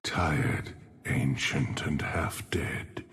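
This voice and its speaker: An actor voicing a grim, weary, ancient necromancer gravedigger in a video game, raspy, slow and solemn. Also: male voice, very deep voice, a bit bright, in a large hall, exaggerated voice. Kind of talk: video game character voice lines.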